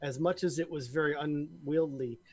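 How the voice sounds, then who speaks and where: A man's voice: a little high, steady tone, normal speed, breathy edge, no room sound. A man playing a tabletop game, reasoning aloud hesitantly with fillers. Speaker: tabletop player in character. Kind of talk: casual roleplay chat.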